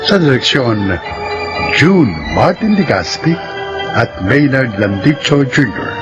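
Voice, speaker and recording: Animated Filipino male announcer over loud music. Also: mid-range voice, takes it slowly, muffled recording. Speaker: radio drama announcer and narrator. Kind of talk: scripted narration, credits announcing.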